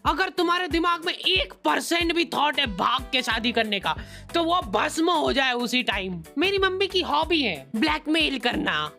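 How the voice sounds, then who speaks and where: A man's voice: extremely high pitch, very animated, moderate pace, gravelly, bright, forceful tone, faint music behind. A man narrating a comedy cartoon in a casual, brash, mock-serious storytelling style. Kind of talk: casual comic storytelling for animation.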